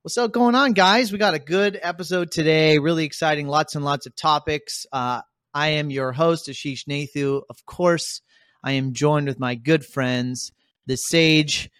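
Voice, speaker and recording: An upbeat man hosts a casual podcast, his voice smooth and resonant at an ordinary pitch. The sound is dry and close, with no room around it. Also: measured pace, no echo. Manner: upbeat, rambling, lots of shout-outs. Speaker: podcast host.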